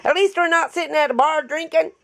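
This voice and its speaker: A woman with a raspy voice after an illness, chatting and joking as she demonstrates a paper craft in her home craft room. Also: sits high, fast, a bit bright. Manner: chatty, joking, laughs often. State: recovering from illness. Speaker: craft tutorial host. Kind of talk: casual chat while demonstrating a craft.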